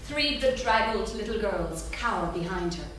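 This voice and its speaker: A woman's voice: medium pitch, expressive, normal speed. A woman reading stage directions as scripted narration at a staged theatre reading. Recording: distant, very echoey hall, clear noise.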